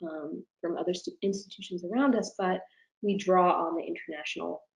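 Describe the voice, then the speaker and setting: A woman's voice: lowish voice, steady tone, fairly quick, vocal fry. A woman professor speaking as an interview guest, giving thoughtful, informal answers in a conversational way and often rewording as she goes. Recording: roomy, echoing room sound.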